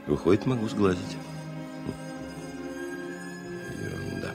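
An actor playing a seasoned, stubborn airline pilot in a radio play, musing to himself in a deliberate way. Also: male voice, deep voice, huge pitch swings, gravelly, over loud music, full character voice. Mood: calm, musing. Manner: deliberate, musing, self-talking. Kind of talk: 1970 radio play monologue.

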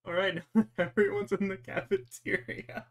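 A game master with a medium-pitched voice runs an online roleplay session. The narration is casual and improvised, and feels its way through each description. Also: fairly even intonation, unhurried, vocal fry. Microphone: voice call.

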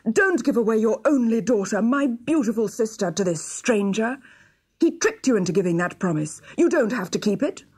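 Woman playing an eager, impetuous young prince, raised pitch. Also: expressive, normal speed, slightly rough. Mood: urgent protest. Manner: urgent, shouting, high-pitched. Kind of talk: children's storybook character reading.

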